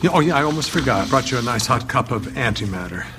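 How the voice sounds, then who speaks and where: A man's voice: medium pitch, animated, fast, close to an everyday voice. An actor playing an impish, scheming male afterlife architect, with a playful, sing-song delivery over music. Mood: breezy. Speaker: actor in a sitcom clip. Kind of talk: sitcom acting. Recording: clip with music behind.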